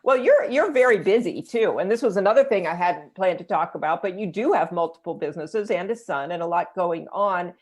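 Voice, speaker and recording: A woman hosting a conversational interview, agreeable, with halting, searching phrasing. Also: fairly low pitch, lively intonation, a little mellow. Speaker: interview host, entrepreneur.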